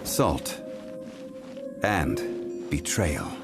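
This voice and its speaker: An actor playing a calm, ancient sage in a video game, speaking in a measured, formal, grave manner. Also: male voice, extremely low pitch, vocal fry, full character voice. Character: calm, solemn ancient male sage recounting old history. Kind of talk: video game story dialogue. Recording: background music under the voice.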